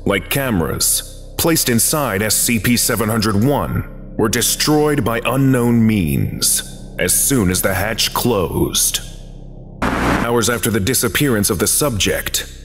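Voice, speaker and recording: A man narrating a scripted archive briefing in a formal, measured, clinical manner. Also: fairly low pitch. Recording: long reverb, music behind. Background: music.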